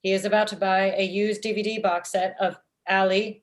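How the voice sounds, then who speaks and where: Deadpan female office robot, played by a woman. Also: medium pitch, normal speed, natural voice. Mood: deadpan, judgmental. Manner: deadpan, stilted formal phrasing. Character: blunt, murderous female office robot, literal-minded. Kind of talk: comedy script table read. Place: indoor room at home, video call. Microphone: video call.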